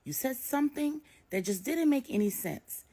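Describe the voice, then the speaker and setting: A woman's voice: high voice, animated, normal speed, warm tone, voice pushed up. A woman voicing an anxious, protective older mother in a bedtime story, imploring and emphatic. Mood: worried, insistent.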